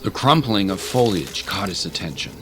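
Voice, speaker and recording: A man's voice: sits low, animated, normal speed, background noise. A man narrating a scripted dramatic story as an audiobook narrator. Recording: music under the voice.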